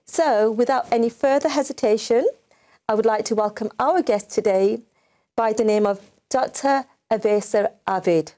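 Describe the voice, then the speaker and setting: A woman's voice: on the high side, normal speed, warm tone. A middle-aged woman presenting a live TV interview show, chatty and full of fillers. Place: TV studio.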